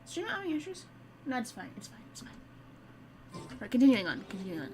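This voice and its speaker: A woman's voice: a little high, big pitch swings, quick pace, background noise. A woman live streaming a game, chatting informally to her viewers with wry, casual commentary.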